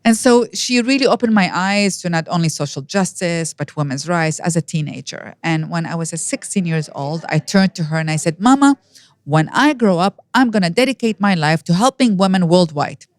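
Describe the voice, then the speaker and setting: A woman in her fifties from Iraq with an Iraqi accent, telling personal stories as an interview guest in an animated way. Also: lowish voice, moderate pace, no echo. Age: 50s. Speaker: podcast guest.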